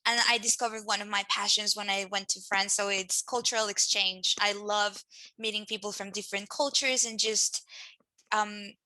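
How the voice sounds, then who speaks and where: A woman's voice: mid-range voice, steady tone, moderate pace, sharp tone, dry recording, low-quality recording. A young adult woman from Mexico hosting a friendly career panel chat, upbeat and chatty. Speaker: host.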